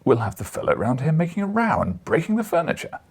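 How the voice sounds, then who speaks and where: A man voicing a gruff, sceptical bacteriologist in an audiobook, dry and blunt in manner. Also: on the high side, huge pitch swings, quick pace, pitched above the performer's own voice, heavily acted. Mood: grumbling. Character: gruff, sceptical male bacteriologist friend at a newspaper. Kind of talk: audiobook character dialogue.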